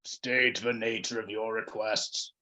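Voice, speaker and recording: A man's voice: lowish voice, fairly even intonation, slow, bright, forceful tone, voice pushed down. A man voicing a solemn multiverse spirit who grants requests, speaking in a formal, portentous manner.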